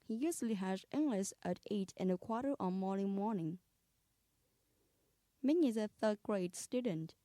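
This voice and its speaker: A woman with a mellow, mid-pitched voice reads out English exercises for beginners. She speaks carefully and plainly, and the recording is dry, with no room echo. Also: animated, normal speed. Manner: plain, careful dictation of items. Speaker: English listening-practice reader. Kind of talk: scripted reading of textbook exercises.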